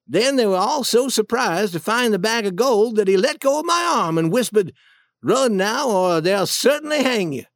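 An earnest runaway boy from a storybook, acted out by a narrator. He pleads his case anxiously and hurriedly, with lively intonation in a dry, close recording. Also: mid-range voice, moderate pace, no echo, close to an everyday voice.

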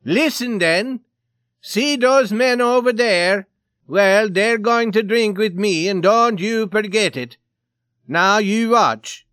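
A man voicing a big, drunken, belligerent Swede in a story reading, shouting boastful demands. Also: fairly high pitch, sing-song, takes it slowly, dry recording, studio quality, full character voice. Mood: angry, demanding. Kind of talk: dramatized short story reading.

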